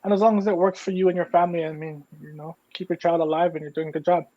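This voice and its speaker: A man's voice: on the high side, flat delivery, rapid-fire. A man in his thirties from Hawaii, co-hosting a casual podcast, rambling with lots of fillers. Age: 30s. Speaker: podcast co-host. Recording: dull lo-fi audio.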